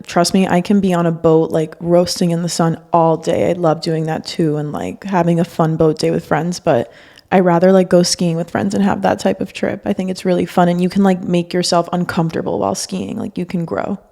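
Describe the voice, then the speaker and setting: A woman's voice: on the low side, fairly even intonation, brisk, gravelly, mellow. A young woman whose first language is Spanish, co-hosting a podcast in informal, candid conversation. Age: young adult. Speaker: podcast co-host. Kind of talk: casual podcast chat.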